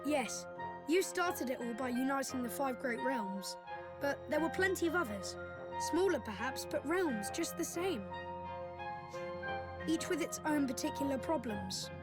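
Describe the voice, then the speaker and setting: A woman voicing a gentle, wise young boy prince in a video game cutscene, speaking in a measured, earnest way. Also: sits high, expressive. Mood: earnest, calm. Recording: orchestral game music behind.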